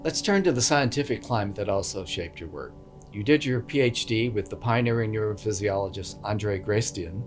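Casting: male interviewer, lowish voice, measured prepared questions, faint music behind.

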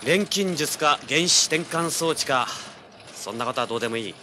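A man's voice: on the high side, expressive, moderate pace, background noise, natural voice. An actor playing a brash, driven young male treasure hunter in an audio drama, with intense dramatic delivery. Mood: impatient, excited. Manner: cocky, intense, rough casual speech. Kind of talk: dramatic first-person narration and dialogue, audio drama.

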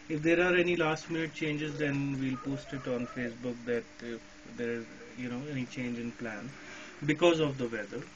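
A man's voice: medium pitch, highs cut off, background noise. Chatty Indian man on a ham radio. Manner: chatty, laughing often, mixing in Hindi. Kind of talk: casual radio chat. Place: outdoors on a balcony at night in the rain.

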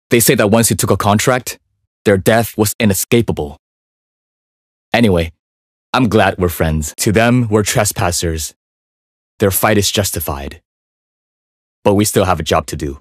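A young Chinese male assassin from a video game with a fairly low, composed voice. He is focused and courteous yet deadly, speaking in cool, clipped, understated lines. The sound is close and dry. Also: no room sound.

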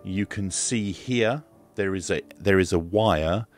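Male product reviewer, deep register, slow hesitant delivery, plain step-by-step walkthrough. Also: soft background music. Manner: unhurried, matter-of-fact, hesitant step-by-step explaining. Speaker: radio reviewer and demonstrator. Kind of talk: casual product demonstration. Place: indoor room at a desk.

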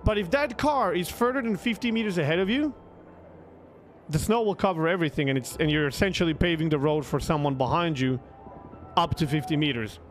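A man's voice: a little high, brisk, soft background music. A young man with a Croatian accent, a streamer casually telling a story in an emphatic, sweary way, repeating words for emphasis, close to a desktop microphone. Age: young adult. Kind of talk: casual storytelling to a livestream chat. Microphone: close desktop microphone with headset.

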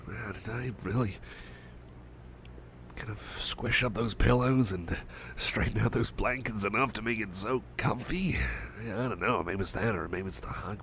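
A man playing a gentle cyborg friend, fry-edged. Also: fairly low pitch, a little hiss or hum, heavily acted. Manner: soft, hesitant and rambling, with many fillers and restarts; drops to soft whispers at times. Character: gentle caring male cyborg friend, young adult. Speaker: ASMR roleplay creator. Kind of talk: ASMR roleplay, personal attention.

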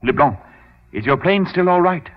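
Lively-pitched, shrewd radio hero. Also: male voice, moderate pace. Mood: urgent. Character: shrewd, composed male occult investigator, hero. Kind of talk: old-time radio drama acting. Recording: muffled old radio recording.

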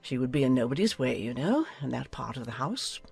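An imperious, husky older noblewoman. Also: rumbling low, very animated, a little fast, a little mellow, pitched below the performer's own voice, exaggerated voice. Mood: lecturing. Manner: pompous, commanding. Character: imperious, meddling older aristocratic lady. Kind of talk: dramatized audiobook acting.

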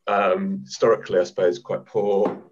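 A man in his thirties from the north of England talks on a video-call panel. He is hesitant and keeps repeating words and restarting. His voice is low and husky, and the call sound is dull and lo-fi. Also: little pitch movement, normal speed. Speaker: panel guest author. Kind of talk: casual panel discussion. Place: home room, video call.

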